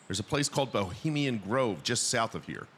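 A man's voice: mid-range voice, big pitch swings, normal speed, a bit bright, slight background noise. A man hosting a podcast and narrating a scripted first-person story in a dramatic storytelling manner.

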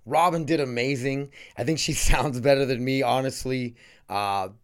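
A man's voice: medium pitch, normal speed, smooth. A man hosting a casual podcast, rambling and swearing easily as he talks. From North Dakota. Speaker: podcast host.

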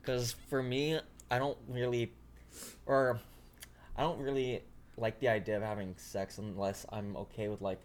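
A man's voice: medium pitch, level tone, a little slow. A man in his twenties co-hosting a podcast, laid-back and given to dry teasing. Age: 20s. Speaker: podcast co-host. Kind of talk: casual chat.

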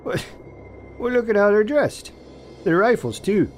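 A man acts out a local Canadian Mountie in an audio drama, earnest and cautious. He stammers and hesitates as he explains, with a lively swing in pitch. Music plays clearly behind him. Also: measured pace. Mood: earnest, insistent.

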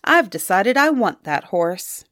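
A woman's voice: medium pitch, sing-song, measured pace, fairly bright tone, no echo, clean studio sound. A woman voicing a bold, cheeky young boy in a storybook, gleeful and taunting. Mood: cocky.

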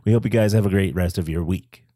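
A man's voice: low voice, quick pace, dark tone, dry recording. Male podcast host with a dry, close sound. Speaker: podcast host and panel moderator. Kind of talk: casual podcast hosting.

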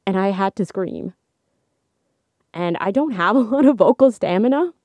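Chatty young woman, slightly hoarse. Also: normal speed, dry recording. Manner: chatty, laughs often, animated swings in pitch. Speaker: podcast host. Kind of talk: casual solo podcast talk. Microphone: studio microphone.

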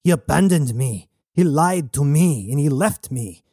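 Man as young male pirate heir, angry, grieving, halting outbursts, audiobook drama, dry sound. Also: on the high side, lively intonation, mellow, no room sound, voice pushed up. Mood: angry, hurt.

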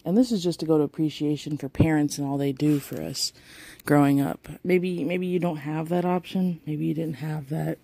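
A tired woman talks slowly into her phone, her raspy, gravelly voice low and mellow. She rambles on a solo podcast, flirting playfully and apologizing often. The sound is close and dry. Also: no echo. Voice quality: raspy and deep. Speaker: podcast host. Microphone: phone held close.

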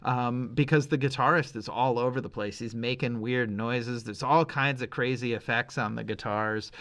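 Middle-aged man, mid-range pitch, podcast host, casual chat, emphatic, opinionated, wandering train of thought. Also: steady tone, normal speed, fairly bright tone. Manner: rambling, emphatic, opinionated, thinking aloud. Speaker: podcast host, music reviewer.

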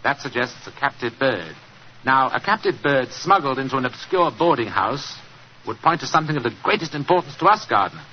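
An actor playing a clever, lazy older brother who plots and dictates in an old radio drama. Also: male voice, medium pitch, animated, lightly acted. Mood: wry. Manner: measured, dry, dictates slowly. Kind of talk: 1950s radio drama acting. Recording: dull old radio recording, light hiss.

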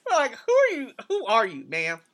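A blunt, loud woman, co-hosting a casual podcast. Her voice is bright and sharp, and her pitch leaps up and down as she makes her point. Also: wildly swooping pitch, moderate pace. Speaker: podcast co-host.

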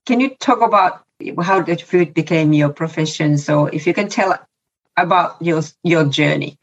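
A woman from eastern Finland with a Finnish accent, hosting a podcast in a measured, formal way. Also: low voice, highs cut off. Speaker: podcast host. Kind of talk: scripted intro then interview.

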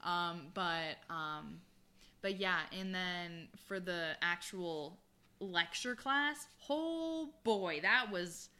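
A young woman co-hosts a casual podcast, speaking candidly and poking fun at herself. Her voice is bright and a little sharp, and she laughs often as she talks. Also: lowish voice, very slow. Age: young adult. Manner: candid, self-deprecating, frequent laughter. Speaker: podcast co-host. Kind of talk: casual chat.

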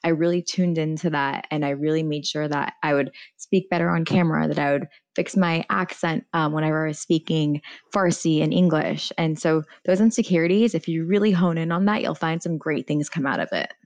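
A woman's voice: low-pitched, fairly quick, few pauses, darkish tone. A woman in her thirties, a former TV journalist and founder, talking candidly on a panel and dropping plenty of "like" and "you know". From United States. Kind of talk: casual panel chat.

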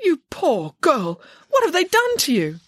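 A woman's voice: on the high side, wildly swooping pitch, a little fast, a bit bright, no echo, studio quality, pitched above the performer's own voice, heavily acted. A woman playing a bossy, indignant female pig nanny in a children's story, speaking emphatically and theatrically. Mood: alarmed, outraged. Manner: emphatic, outspoken, exclamatory.

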